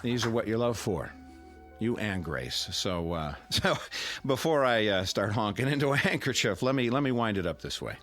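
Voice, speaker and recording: An American actor playing a genial, sentimental middle-aged neighbor who makes a halting, teasing after-dinner speech in a radio drama. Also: male voice, fairly low pitch, very animated, fairly bright tone, soft background music.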